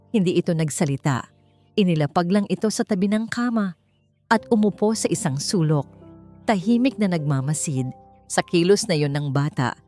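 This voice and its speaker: A woman with a Filipino accent reads a scripted romantic drama as its narrator, with music behind her. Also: lowish voice. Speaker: story narrator. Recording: faint music bed under the voice. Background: soft background music.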